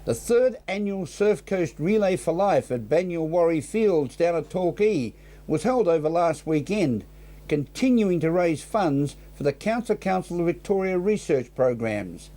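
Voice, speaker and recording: A man's voice: a little high, animated, a little slow, warm tone. An Australian man presenting local television news in a scripted newsreading style.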